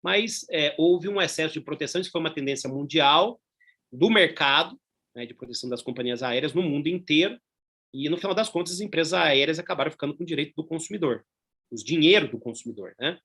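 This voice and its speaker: A man's voice: fairly high pitch, animated, fast talker, fairly bright tone, breathy edge. A middle-aged man with a Brazilian Portuguese accent giving a conversational law lecture, full of tag questions and asides. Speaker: law professor.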